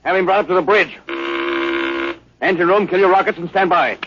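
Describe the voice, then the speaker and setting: A man playing a commanding, short-tempered but loyal spaceship captain in a 1950s radio drama, with an American accent and clipped, gruff authority. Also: high voice, a little fast, lightly acted. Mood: angry, exasperated. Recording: dull, noisy old radio recording.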